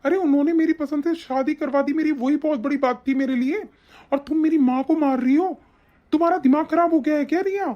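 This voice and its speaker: A woman's voice: mid-range voice, fairly quick, natural voice. A woman playing an angry, domineering young husband and devoted son, bossy and accusing in a family melodrama. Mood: furious, accusing. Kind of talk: domestic family melodrama acting. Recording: dull, muffled lo-fi sound.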